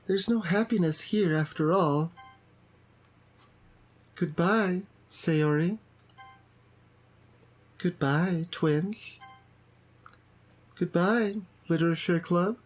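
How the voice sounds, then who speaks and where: A woman voicing a sweet teenage girl who has become club president, speaking with calm, clingy devotion. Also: lowish voice, drawn-out words, long pauses. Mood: sad.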